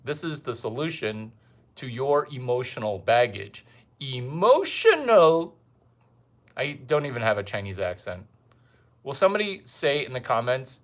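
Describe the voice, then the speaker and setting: A man doing a rambling comic monologue, provocative and fond of repeating his catchphrases with drawn-out emphasis. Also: mid-range voice, a bit bright. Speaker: comedian host.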